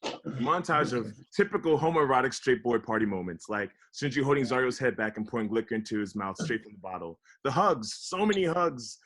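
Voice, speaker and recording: A man's voice: medium pitch, expressive, fairly quick, fairly bright tone. A man narrating scripted stage directions for a table read. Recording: online video call table read.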